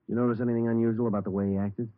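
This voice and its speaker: Man playing a clipped, deadpan, deep-voiced radio detective. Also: rapid-fire, no echo, close to an everyday voice. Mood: dry, businesslike. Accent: American. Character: terse, matter-of-fact male police detective. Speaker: lead detective and narrator. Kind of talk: 1950s radio drama acting and clipped narration. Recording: narrow-band vintage radio, music behind.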